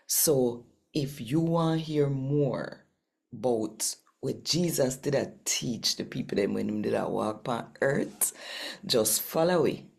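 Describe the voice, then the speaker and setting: Jamaican lady doing a bible reading for her channel, really low gravelly voice, takes it slow. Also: a little mellow. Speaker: channel host and scripture reader. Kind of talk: scripture reading and channel introduction in a devotional video.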